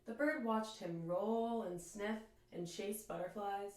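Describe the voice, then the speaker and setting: A woman reads a picture book aloud in a very echoey room, a little away from the mic. She sounds like a teacher with young listeners, phrasing each line expressively and deliberately. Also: mid-range voice, noisy background. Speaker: teacher reading aloud to children. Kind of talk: children's storybook read-aloud. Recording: echoey room, distant microphone.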